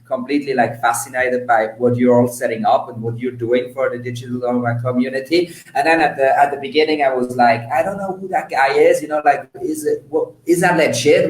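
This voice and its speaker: A man's voice: medium pitch, darkish tone. Echoey male live-stream host, effusive. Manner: effusive, repeats words for emphasis. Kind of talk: enthusiastic, informal live interview hosting.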